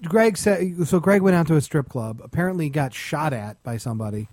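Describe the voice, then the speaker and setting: A man chatting casually as a talk show host, telling anecdotes in an animated way and acting out the dialogue. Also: fairly high pitch, brisk, no room sound, studio quality. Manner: animated retelling with acted-out dialogue. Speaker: talk show host and stand-up comedy club insider. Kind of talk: casual storytelling chat.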